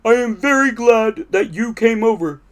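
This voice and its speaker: A man voicing an excitable, vain yet anxious male toad in a storybook, with emphatic delivery. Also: very high voice, normal speed, voice pushed up. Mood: relieved. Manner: emphatic, crying out.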